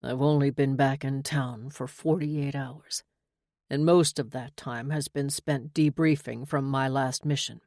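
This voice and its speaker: A male actor voices a romance hero, a smug and seductive military man with a brooding streak. He speaks in an intimate, teasing way at a middling pitch. The sound is dry, with no room around it. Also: fairly even intonation, a little slow, darkish tone, dry recording, lightly acted. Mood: earnest, brooding. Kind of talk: audiobook romance dialogue.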